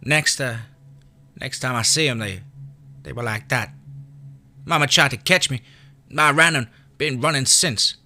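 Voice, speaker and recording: A man's voice: medium pitch, unhurried, long pauses, bright, forceful tone, pitched above the performer's own voice. A man voicing a scrappy, hungry young boy with a drawl and defiant pride. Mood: earnest. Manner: folksy backwoods drawl, pleading to defiant.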